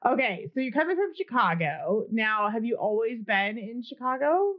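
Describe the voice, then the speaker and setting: A woman's voice: on the high side, very animated, unhurried, lo-fi sound. A woman hosting a podcast, chatty and upbeat, interviewing a guest over a remote call. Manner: upbeat, chatty, laughs often. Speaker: podcast host. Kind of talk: casual interview chat.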